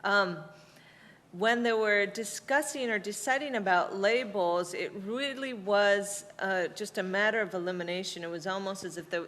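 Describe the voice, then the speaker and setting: A woman's voice: mid-range voice, fairly bright tone. Woman panelist in a reverberant hall. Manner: thoughtful, explanatory, with frequent fillers. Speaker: panelist, researcher. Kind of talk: academic panel discussion. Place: lecture hall.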